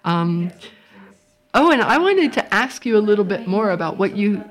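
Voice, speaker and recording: A woman's voice: mid-range voice, big pitch swings, moderate pace, low-quality recording. A woman with a Californian accent, hosting a panel discussion and asking guests follow-up questions. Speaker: host.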